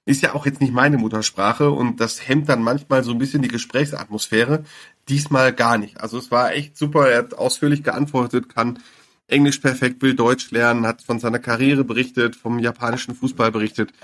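A man, a sports reporter, chatting in a podcast interview with plenty of fillers and restarts. His voice is of middle pitch and he talks easily, as if over a call line. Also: fairly even intonation, brisk, a bit bright.

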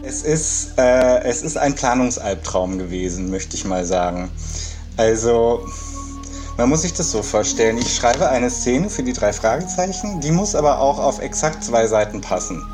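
A man's voice: medium pitch, lively intonation, moderate pace, over music. A man speaking as an interviewed author, explaining his work in spontaneous talk.

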